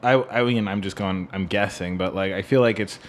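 A man's voice: low voice, fairly even intonation, brisk. A man in a casual music discussion, talking in a rambling way and often checking for agreement with "right?". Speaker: podcast co-host.

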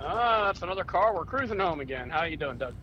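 A man's voice: high-pitched, lively intonation, fast talker. Easygoing man talking from a moving car. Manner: easygoing, candid, with frequent fillers. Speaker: podcast co-host. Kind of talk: casual chat. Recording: muffled audio with road noise from a car.

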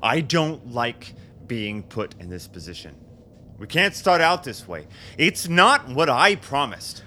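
A man's voice: mid-range voice, very animated, takes it slowly, bright, forceful tone, heavily acted. An actor in a radio drama playing a self-doubting political leader, a man who sounds hesitant and agitated. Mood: frustrated. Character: anxious, self-doubting new political chairman, a war hero. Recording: noticeable background noise.

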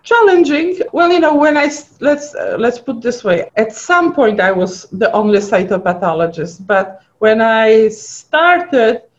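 Middle-aged Polish woman, higher voice, chatty storyteller, dull lo-fi sound. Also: expressive, a little slow, hardly any pauses. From Poland. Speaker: guest pathologist. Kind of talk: casual interview chat. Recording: dull lo-fi audio.

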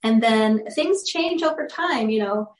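A woman's voice: a little high, animated, unhurried. A young woman, a guest on an interview show, chatting casually in an upbeat way and telling stories. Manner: upbeat, laughs easily, storytelling. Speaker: guest, actress and model. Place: room with audible airplane noise outside. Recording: echoey room.

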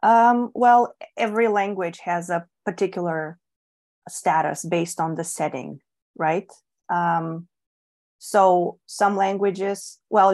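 A woman's voice: medium pitch, a little mellow. A woman from Bulgaria, Bulgarian her first language, answering interview questions in a thoughtful way with many ums and restarts. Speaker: guest. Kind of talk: relaxed podcast interview.

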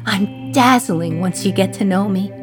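A woman's voice: medium pitch, measured pace, music in the background, close to an everyday voice. An actress playing a sardonic, resentful jailed woman in her thirties from 1950s New York, confiding her memories in a radio play monologue. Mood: proud, confiding.

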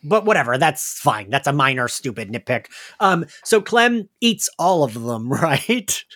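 A man's voice: a little high, big pitch swings, measured pace, fairly bright tone. A man hosting a casual recap discussion, conversational and often questioning. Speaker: podcast host.

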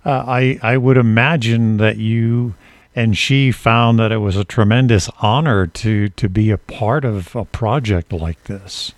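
A man hosting an interview, putting measured questions in a deliberate, formal and wordy manner. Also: lowish voice, steady tone, dry recording. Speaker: interview host.

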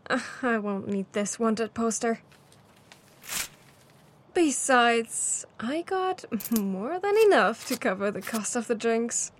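Woman acting a high-voiced playful fantasy flirt. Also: animated, slow pace, noisy background. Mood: sly. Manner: teasing, breezy, affectionate. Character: playful, flirtatious mysterious woman out drinking, secretly a pickpocket. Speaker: guest voice actor. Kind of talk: fantasy audio drama acting.

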